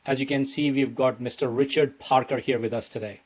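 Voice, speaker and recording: Man, podcast host, friendly and conversational, mid-pitched. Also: fairly even intonation, a little fast, slight background noise. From India. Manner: friendly, chatty questioner. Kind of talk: casual podcast interview.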